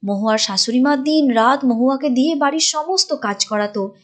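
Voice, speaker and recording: High-pitched woman narrating a cartoon story. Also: normal speed. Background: clear background music.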